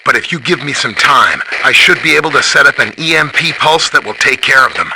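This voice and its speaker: An actor playing a helpful, earnest scientist superhero in a video game, explaining technical matters in a friendly way. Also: male voice, fairly low pitch, animated, normal speed, background noise. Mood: alert, businesslike. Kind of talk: scripted game dialogue, explaining and briefing.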